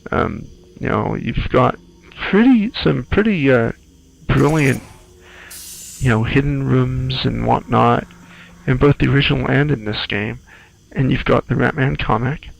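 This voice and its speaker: A man giving casual, rambling playthrough commentary in an informal, halting, musing way. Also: medium pitch, vocal fry, rough recording. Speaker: screenwriter doing game playthrough commentary.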